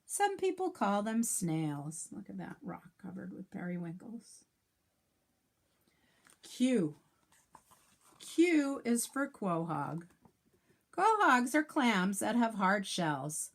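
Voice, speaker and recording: Female teacher for children's read-aloud: unhurried, lively intonation, careful enunciation, chatty asides to little listeners. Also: medium pitch, creaky. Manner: sing-song, enunciating letters for young children; adds asides and questions to young listeners. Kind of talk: read-aloud of a children's alphabet picture book.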